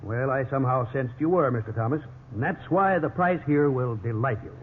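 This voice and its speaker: An actor playing an upbeat, evasive real estate salesman in a 1950s radio drama, with smooth, ingratiating sales patter. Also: mid-range voice, brisk, close to an everyday voice. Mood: salesy, upbeat. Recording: tinny, hissy 1950s radio recording.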